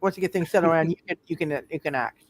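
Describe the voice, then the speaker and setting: A man narrating a tabletop game as game master, improvising in a halting way and repeating himself. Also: a little high, slight background noise.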